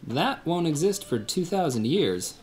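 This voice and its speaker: A man acting in a church radio play, calm and gently teasing. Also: fairly high pitch, a little slow, slight background noise.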